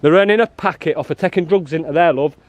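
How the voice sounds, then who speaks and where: Loud, blunt man. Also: on the high side, fast talker, no room sound. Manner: loud, blunt and challenging, calls people "love" and "mate". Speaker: activist filming and confronting prison officers. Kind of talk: confrontational street filming with commentary to viewers. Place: outdoors outside a prison.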